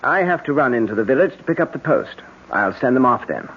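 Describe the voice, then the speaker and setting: A coaxing, secretly scheming husband in a seventies radio mystery. His husky voice moves around freely in pitch. The sound is dull and lo-fi. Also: lively intonation, quick pace. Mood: soothing. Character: smooth, soothing, quietly scheming husband. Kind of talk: 1970s radio drama acting.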